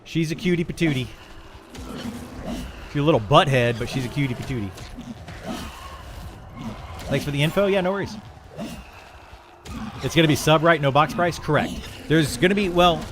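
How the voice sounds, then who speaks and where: A man's voice: fairly high pitch, expressive, moderate pace, long pauses. A middle-aged man, a video game streamer, talking casually and a bit ramblingly as he answers viewers on a livestream. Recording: clear background noise and ambience.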